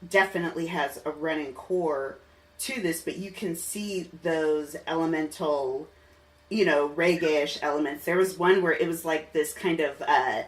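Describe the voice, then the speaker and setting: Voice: mid-range voice, measured pace. A podcast host interviewing a guest in casual, enthusiastic, chatty conversation. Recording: slightly distant mic, music underneath.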